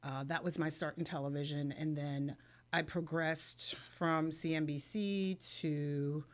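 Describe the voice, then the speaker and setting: A woman's voice: low-pitched, steady tone, measured pace. A middle-aged woman from New York, a podcast guest, chatting casually and recollecting her working life. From New York (Queens, Long Island). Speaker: film producer, podcast guest. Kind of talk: casual chat, personal recollection.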